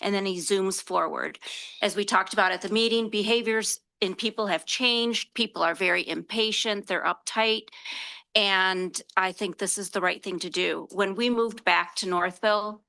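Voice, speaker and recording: A woman with a loud voice, a resident speaking earnestly and with concern during public comment at a council meeting. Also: mid-range voice, moderate pace, gravelly, rough recording. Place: council chamber.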